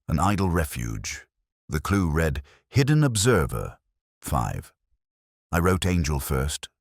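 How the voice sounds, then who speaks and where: A man voicing a reflective police inspector who narrates his case in the first person, measured and literary. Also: deep voice, no echo, studio quality, heavily acted. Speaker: detective narrator. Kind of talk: scripted first-person radio-drama narration.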